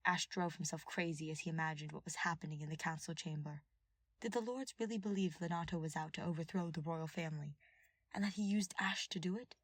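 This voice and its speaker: A woman reading scripted fiction aloud as an expressive audiobook narrator. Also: low voice, brisk, no echo.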